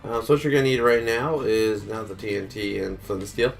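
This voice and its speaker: A man's voice: on the low side, a little fast, creaky, faint music behind. A man who is a little sick, casually walking through a building tutorial step by step and counting blocks aloud. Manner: relaxed, counts blocks aloud, many fillers. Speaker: gaming tutorial host.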